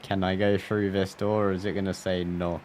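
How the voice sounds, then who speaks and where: A man's voice: low-pitched, flat delivery, brisk, darkish tone, slight background noise. A man livestreaming a game with casual, playful, sing-song asides. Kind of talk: casual gameplay commentary.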